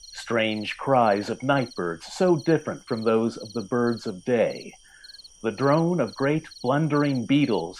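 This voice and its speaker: A man reads a story as the narrator of an old-time radio drama. His voice sits at a middle pitch. He is deliberate and measured, setting phrases apart with dramatic pauses. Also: darkish tone, slight background noise, rough recording.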